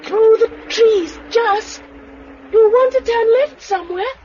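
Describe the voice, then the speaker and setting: A woman's voice: very high voice, takes it slowly, a crowd in the background. A woman playing an eager, plucky young British girl in a 1950s radio drama. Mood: watchful. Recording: dull, noisy vintage radio recording.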